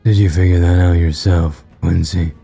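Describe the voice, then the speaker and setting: A menacing demon of living shadow, performed by a male storyteller, speaks in a low, very dark voice. It mocks coldly and slowly, leaning on its words with bored deliberation, as in an audio storybook. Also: fairly even intonation, gravelly, husky, soft background music, heavily acted. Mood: cold, mocking. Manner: slow, bored, deliberate emphasis.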